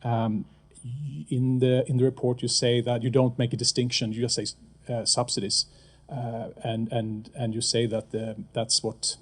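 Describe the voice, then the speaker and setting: A man's voice: mid-range voice, completely flat delivery, normal speed. A middle-aged man from Sweden, a former fisheries regulator, giving panel remarks with enthusiasm and many fillers and restarts.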